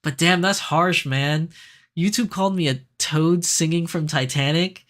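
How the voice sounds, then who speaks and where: A man with a raspy voice, hoarse from being sick, hosting a casual livestream and answering viewer comments. His voice sits a little high, and he is animated, laughs easily and fills pauses with "um" and "uh". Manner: chatty, animated, laughs easily, frequent fillers. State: sick, raspier than usual. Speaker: livestream host.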